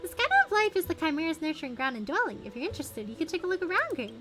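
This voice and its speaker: A woman voicing a gentle, refined animal-loving scholar from a fantasy game, composed and formal. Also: very high voice, very animated, racing. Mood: inviting. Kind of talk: reading game dialogue aloud. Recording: game music behind the voice.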